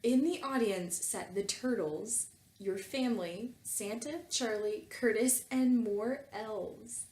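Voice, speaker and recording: A woman's voice: on the high side, slow pace, a little mellow. A woman reading fan fiction aloud and commenting on it casually, with a tongue-in-cheek, mock-earnest manner. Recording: roomy echo, music behind.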